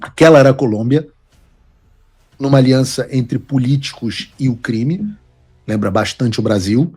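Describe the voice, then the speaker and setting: A man's voice: medium pitch, moderate pace, a little mellow, husky, faint music behind. A man from Brazil giving emphatic, lecturing political commentary as host of his show. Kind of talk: opinion commentary.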